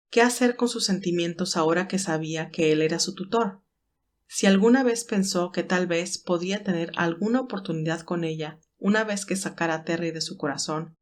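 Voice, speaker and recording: Woman narrator reading a dramatic love story, scripted, lowish-to-mid voice.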